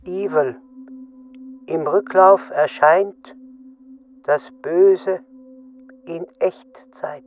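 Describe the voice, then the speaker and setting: An actor playing a cryptic male voice, reciting word puzzles haltingly over a band-limited radio transmission. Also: on the high side, narrow, tinny audio. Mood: ominous. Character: cryptic male voice reciting anagrams from a mysterious recording.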